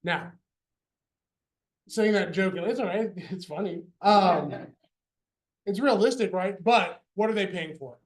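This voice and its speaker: A high-pitched man lectures with playful energy, tossing in jokes and quick checks with the class. His voice rings around a roomy hall and sounds set back from the mic. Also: recorded from a distance. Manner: animated, joking, says "y'all" and "right?". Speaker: veterinary neurology lecturer. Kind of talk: university lecture. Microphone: handheld or lapel microphone.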